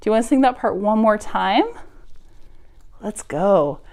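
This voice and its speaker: A woman's voice: mid-range voice, lively intonation, measured pace, darkish tone. A woman hosting a preschool storytime, speaking straight to small children in simple, encouraging phrases with exaggerated sing-song emphasis. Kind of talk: preschool storytime read-aloud and chat to children.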